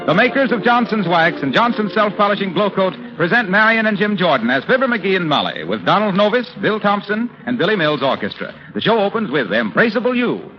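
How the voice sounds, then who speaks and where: A man's voice: high-pitched, big pitch swings, fairly quick, hardly any pauses. A man with an American accent as a polished 1930s radio announcer, reading a script in a declamatory way. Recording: dull 1930s radio recording with music.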